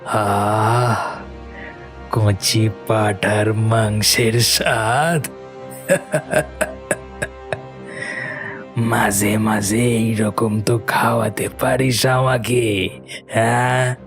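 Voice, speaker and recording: A man voicing a menacing, gloating flesh-eating ghost in a horror cartoon, with drawn-out, threatening delivery. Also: on the low side, fairly even intonation, soft background music.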